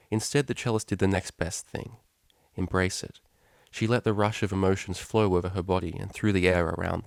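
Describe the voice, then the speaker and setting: A man narrates a story in a lowish voice. He reads in a measured, expressive storytelling style. The sound is dry, with very little room in it. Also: gravelly, dry recording. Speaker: fan fiction reader, narrator.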